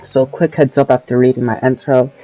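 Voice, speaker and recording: A man's voice: mid-range voice, flat delivery, a little fast, no echo, highs cut off, faint music behind. Eighteen-year-old guy rambling about albums. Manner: rambling, self-correcting, casual slang. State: voice lowered from oncoming sickness. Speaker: album review channel host. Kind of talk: casual spoken album reviews.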